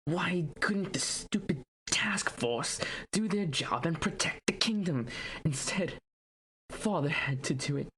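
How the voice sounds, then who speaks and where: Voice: medium pitch, lively intonation, measured pace, a bit bright, a little hiss or hum. An actor playing an anxious young prince who misses his father, speaking in a hesitant, plaintive, earnest way in an audio drama. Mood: grieving. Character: anxious, grieving young prince, devoted son. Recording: music bed behind the voice.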